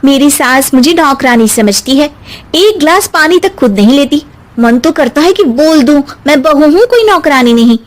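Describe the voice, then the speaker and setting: Cartoon moral tale: young Indian daughter-in-law, played by a woman, very high voice, touchy but loving. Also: lively intonation, normal speed. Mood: irritated, aggrieved. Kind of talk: cartoon moral story voice acting.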